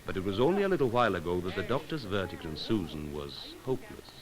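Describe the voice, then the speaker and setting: A man reading scripted narration for an educational film, in a measured, solemn and poetic way with deliberate pauses. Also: low voice, sing-song, a crowd in the background, poor audio. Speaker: documentary narrator.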